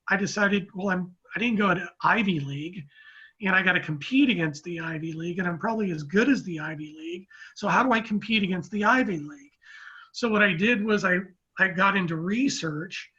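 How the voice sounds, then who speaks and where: A man in his fifties from Oakland, a guest telling his story in a rambling, measured way with many restarts. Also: high voice, sharp tone, husky, lo-fi sound. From Oakland, California, US. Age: 50s. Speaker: guest, real estate economist. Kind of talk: casual interview talk.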